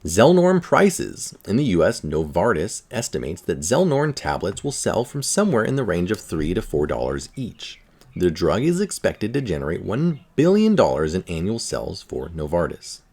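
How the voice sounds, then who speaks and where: Low-pitched man reading an article aloud. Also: wildly swooping pitch, moderate pace, a little mellow, husky. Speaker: article reader.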